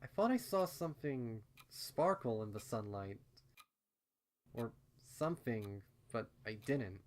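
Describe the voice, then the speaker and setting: A man reading a first-person fan fiction story as narrator, in a measured, subdued manner. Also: medium pitch, animated, a little hiss or hum.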